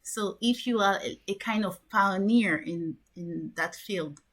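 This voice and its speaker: A woman's voice: mid-range voice, a bit bright. Eager woman interview host. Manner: curious, enthusiastic, quick reactions. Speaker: podcast host.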